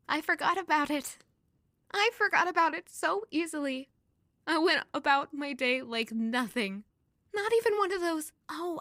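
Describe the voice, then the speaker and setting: A woman's voice: high-pitched, fairly bright tone, dry recording, studio quality. An actress playing a fragile young servant woman, grieving and full of self-doubt, in an emotional audio drama.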